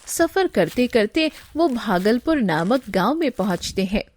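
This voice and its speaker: A woman reads a scripted story as narrator. Her voice sits a little high and she takes her time over the words. The sound is very dry, without room echo. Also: very animated, a little slow.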